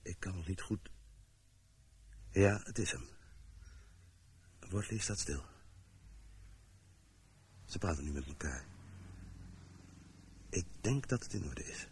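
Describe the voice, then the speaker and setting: An actor in a radio play playing a field agent who reports a surveillance in clipped, factual phrases. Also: male voice, deep voice, lively intonation, takes it slowly, long pauses, a little mellow, heavily acted. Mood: tense, factual. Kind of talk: radio drama acting. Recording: old radio play, faint noise.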